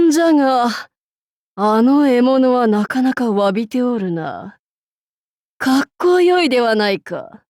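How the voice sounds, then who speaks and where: A woman's voice: fairly high pitch, wildly swooping pitch, slow, pauses often, darkish tone, no echo, studio quality, heavily acted. A woman voicing an imperious, haughty demon-king warlord in a mobile game, speaking in pompous, archaic lordly Japanese phrasing. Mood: gleeful, haughty.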